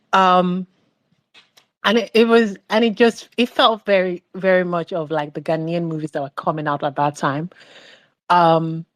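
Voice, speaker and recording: A woman's voice: fairly low pitch, no echo. A woman giving her opinion on a film podcast, chatty and meandering, with lots of "like" and restarts. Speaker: podcast panelist. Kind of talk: casual opinion chat.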